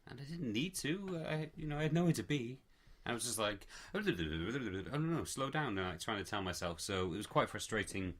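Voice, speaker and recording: A man's voice: mid-range voice, animated, normal speed, fairly bright tone. A man chatting casually on a podcast, rambling a little with fillers. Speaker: podcast co-host. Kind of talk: casual chat.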